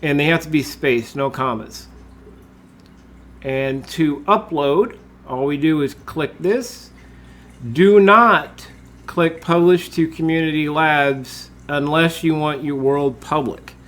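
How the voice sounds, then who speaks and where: A man, congested from a cold, talking through a screen-recorded software tutorial in a relaxed way, with lots of uh and um. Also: fairly high pitch, takes it slowly. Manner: relaxed, with frequent uh and um fillers. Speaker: tutorial host.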